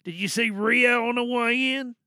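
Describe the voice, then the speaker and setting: A man's voice: very high-pitched, steady tone, normal speed, sharp tone, clear, resonant tone, dry recording, clean studio sound, voice pushed up, full character voice. A man playing a flamboyant, gloating supervillain in an audio drama monologue, savoring each phrase and secretly smitten with a captive hero. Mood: confiding, bitter.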